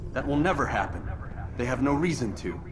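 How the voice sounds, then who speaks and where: A man's voice: medium pitch, animated, fairly quick, noisy background, lightly acted. A man playing a stern, stoic young medieval assassin in a video game, speaking curtly and formally. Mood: stern, resolute. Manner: curt, solemn, formal. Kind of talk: dramatic video game cutscene acting.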